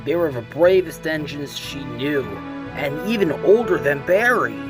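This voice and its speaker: A man's voice: on the high side, expressive, unhurried. A man reading scripted narration for a children's story in a fan comic dub. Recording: music under the voice.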